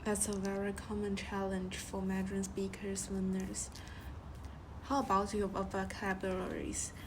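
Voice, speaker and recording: A woman's voice: mid-range voice, monotone. A woman interviewer in a mid register who asks prepared questions politely, now and then stumbling over her words. A faint noise sits behind her voice. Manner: polite, slightly halting. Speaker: interviewer, student.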